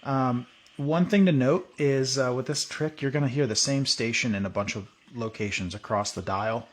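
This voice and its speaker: A man hosting a hands-on tutorial, thinking aloud in a relaxed way while working at a workbench. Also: medium pitch, moderate pace. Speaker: video host.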